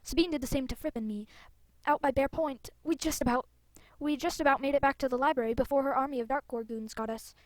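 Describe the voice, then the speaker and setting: A woman's voice: sits high, fast talker, dry recording, natural voice. A woman playing an earnest, eager young female rider hero from a fantasy game. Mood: earnest, urgent.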